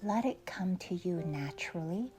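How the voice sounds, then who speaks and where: A woman leading a guided meditation in a soft, soothing, unhurried way with long pauses, often trailing off into breathiness. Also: on the low side, animated. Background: faint music.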